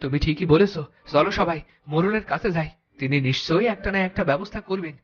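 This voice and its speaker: A man's voice: on the high side, fairly quick, dull audio, lightly acted. A man voicing a worried village man in a cartoon, earnestly urging neighbours to act. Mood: worried, resolute. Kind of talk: cartoon dialogue acting.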